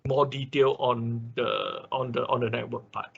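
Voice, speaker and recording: Bright-voiced, slightly husky man teaching online. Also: medium pitch, steady tone, unhurried, highs cut off. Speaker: instructor of an online robotics class. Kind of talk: online class question-and-answer. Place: online class over a computer call.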